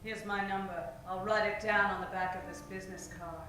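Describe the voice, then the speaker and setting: A woman's voice: medium pitch, fairly even intonation, brisk, close to an everyday voice. A woman acting a sly, sultry femme fatale with a coolly teasing manner in a noir radio parody. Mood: guarded, sly. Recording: echoey, distant live stage recording. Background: faint music.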